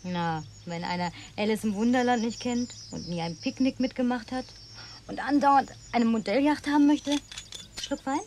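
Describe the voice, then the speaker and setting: A woman's voice: a little high, normal speed, darkish tone, a little hiss or hum, close to an everyday voice. An actress playing a worldly, wry, indulgent city woman in a German radio play, with a teasing, cool, conversational manner.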